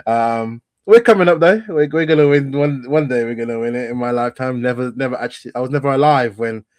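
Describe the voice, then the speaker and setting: A man's voice: mid-range voice, quick pace, a little mellow, no echo. A young man from northern Italy with an English accent, chatting casually as a podcast guest and talking in a laid-back way with plenty of fillers. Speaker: guest, football content creator.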